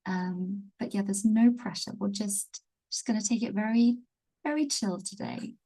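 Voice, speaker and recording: A woman hosts a live online webinar in a warm, mellow voice. She sounds easygoing and gently encouraging, and her pitch sits in a middle range. She is speaking over a video call. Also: steady tone, normal speed, slightly rough, rough recording. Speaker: webinar host and healing teacher.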